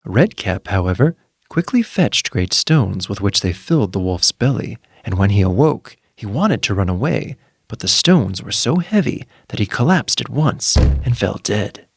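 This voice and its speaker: A man narrating a scripted fairy tale in an expressive, measured storytelling manner. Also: on the low side, gravelly, husky.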